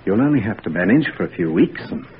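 An actor voices a smooth, manipulative young student in an old radio drama, coaxing and persuasive. His pitch moves in a lively way. The sound is thin and phone-like, with a faint hiss. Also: quick pace, lightly acted. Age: young adult. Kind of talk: 1940s radio acting.

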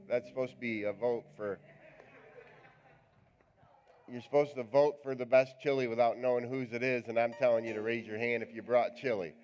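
A male pastor with a raspy voice, preaching a live church sermon in a chatty, joking, rambling way. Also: mid-range voice, highs cut off. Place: church sanctuary.

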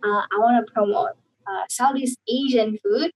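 A woman's voice: on the high side, normal speed, husky, dull audio. A woman from Indonesia, a guest talking casually over a video call, rambling with many fillers and restarts.

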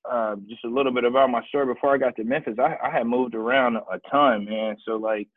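A man's voice: mid-range voice, fairly even intonation, fast talker, dry recording. A man from Kansas City, Missouri, casually telling his life story as a guest, with many restarts. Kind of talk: casual podcast chat. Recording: dry, tinny phone-like sound.

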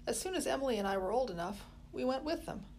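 A woman's voice: on the high side, animated, quick pace, darkish tone, slight background noise, pitched above the performer's own voice. A woman doing a polite, well-spoken boy. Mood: earnest.

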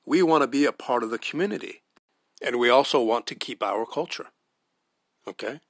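A man's voice: medium pitch, lively intonation, normal speed, no room sound, clean studio sound. A man with an American accent giving an earnest, emphatic opinion monologue, pressing his points with rhetorical questions. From United States. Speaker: podcast host.

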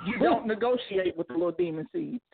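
A woman's voice: fairly high pitch, vocal fry, husky. A woman in her thirties, a single mother, talking bluntly and laughing often in a casual call-in chat. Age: 30s. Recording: dry tinny phone line.

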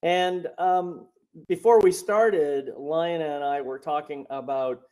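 A man's voice: fairly high pitch, slow pace, a little mellow, rough recording. A man hosting a spiritual podcast, talking over a remote call in a rambling way with many fillers and restarts. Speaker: podcast host and coach. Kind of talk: casual spiritual podcast chat.

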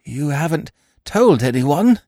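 A man's voice: fairly high pitch, very animated, slow pace, warm tone, no echo, pitched above the performer's own voice, heavily acted. A man reading aloud, voicing a nervous, guilty middle-aged stepfather who stammers and speaks in a hasty, panicky way. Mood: panicked.

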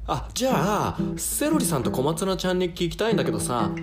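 A woman voicing a chummy, excitable boy eggplant with a gossipy, casual manner in comic radio drama. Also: lowish voice, fast. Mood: conspiratorial. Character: chummy, excitable boyish talking eggplant, male. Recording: roomy sound under loud guitar music.

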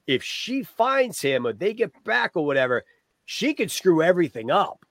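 A man's voice: high-pitched, big pitch swings, normal speed, fairly bright tone, no echo, studio quality. A man co-hosting a book podcast in casual chat, a bit stuffy from a cold, rambling and wry. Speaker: podcast co-host.

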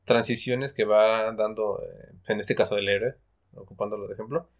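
A man co-hosting a casual literary discussion in a tentative, halting, conversational way. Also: on the low side, steady tone. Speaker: podcast co-host.

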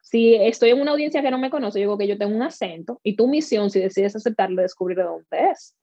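A Dominican woman with a warm, mellow voice talks through her experiences in a relaxed interview, lively and acting out the lines she quotes. The recording is dry and a bit dull, with no room sound. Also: medium pitch, quick pace, dry recording. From Dominican Republic. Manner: animated storytelling, acts out quoted lines. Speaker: guest, speaker and workshop leader. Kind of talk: conversational interview.

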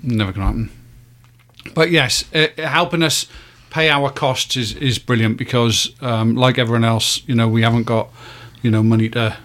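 A man's voice: medium pitch, steady tone, moderate pace, fairly bright tone. A man hosting a podcast, chatting casually with lots of ums, thanking listeners and joking. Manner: rambling, many ums, jokey and thankful. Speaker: podcast host. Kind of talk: casual chat.

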